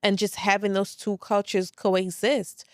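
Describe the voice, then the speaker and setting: Candid laid-back Brooklyn woman, smooth voice. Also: medium pitch, level tone, measured pace, dry recording, clean studio sound. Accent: Brooklyn with a light Saint Lucian trace. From Saint Lucia, raised in Brooklyn, New York. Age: 30s. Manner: candid and laid back, lots of "like" and "very much so", swears freely. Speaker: podcast host. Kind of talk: casual solo podcast monologue.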